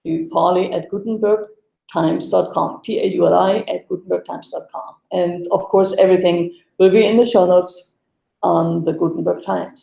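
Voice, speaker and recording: A woman's voice: mid-range voice, vocal fry, mellow, roomy sound, low-quality recording. A woman, hosting a live web Q&A show over a video call. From Germany. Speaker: host. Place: home room, video call.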